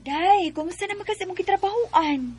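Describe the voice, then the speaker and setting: A woman's voice: extremely high pitch, a little fast, a little mellow, no room sound. A woman acting a practical, encouraging young best friend in a Bisaya radio drama, with a familiar, persuasive way of talking. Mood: chatty. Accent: Bisaya Filipino.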